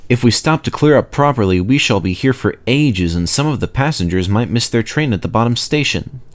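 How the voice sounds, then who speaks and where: A man plays an unflappable male engine driver from a storybook. He is laconic, brisk and matter-of-fact, though his pitch still moves around freely. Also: animated, close to an everyday voice. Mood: brisk, resigned.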